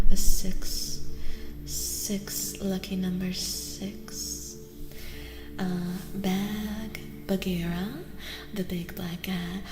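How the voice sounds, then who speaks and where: A woman livestream host doing soft-spoken ASMR, chatting casually and soothingly with viewers, often half whispering and repeating short phrases. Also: mid-range voice, echoey room. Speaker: livestream host reading tarot for chat. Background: music.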